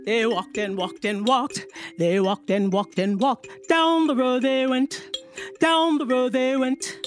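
A woman telling a story to children in an animated, rhythmic way, drawing out words with playful emphasis. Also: high-pitched, slow, faint music behind.

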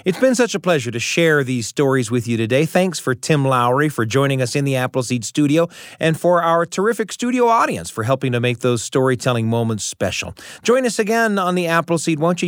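A male radio host with animated, wide-ranging intonation and a middle pitch. His voice is recorded very dry, and he delivers scripted links in a conversational presenter style. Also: no room sound. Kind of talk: warm scripted radio hosting narration.

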